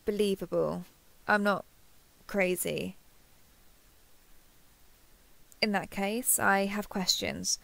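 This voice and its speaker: Girl acting a flustered sweet human in audio roleplay, kinda slow, lots of fry, stammers, super close dry mic. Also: mid-range voice, fairly bright tone, no echo. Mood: curious, disbelieving. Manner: hesitant, stammering, curious, rambling. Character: kindhearted, awkward, easily flustered human woman questioning a vampire. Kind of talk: intimate roleplay audio acting, one side of a conversation.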